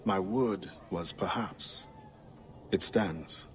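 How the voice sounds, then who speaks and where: A proud, guarded assassin who was once enslaved speaks in a low, grave register. His delivery is formal and wary, and he measures every word. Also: drawn-out words. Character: proud, guarded freed-slave assassin, adult man. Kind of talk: video game cutscene dialogue.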